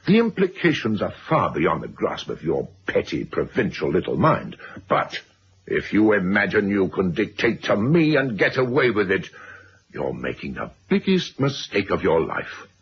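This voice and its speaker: Radio drama villain: arrogant wealthy husband, low threatening voice, dull vintage recording. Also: male voice, wildly swooping pitch, normal speed, gravelly, heavily acted. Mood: furious, scornful. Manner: haughty, threatening, bullying. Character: domineering, wealthy, contemptuous husband and business magnate. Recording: dull, faintly noisy old radio recording.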